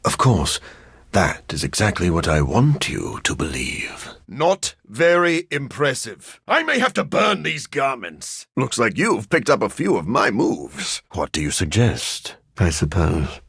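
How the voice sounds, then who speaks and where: A man playing a brooding, scholarly living vampire in a video game, husky and formal, articulate and dryly sardonic. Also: on the low side, huge pitch swings, a little slow, a bit bright, no echo, clean studio sound, heavily acted. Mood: wry.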